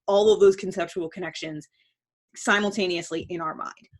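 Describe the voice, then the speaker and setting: Casual woman host, slightly low voice. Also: fairly even intonation, normal speed. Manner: informal, self-referential, thinking aloud with examples. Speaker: host of a personality-typing show. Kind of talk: casual explanatory monologue.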